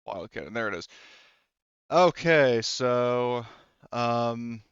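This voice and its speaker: A man running a tabletop role-playing game as game master, casual and halting as he thinks aloud. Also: medium pitch, a bit bright, clear, resonant tone, no echo. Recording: dull, lo-fi sound.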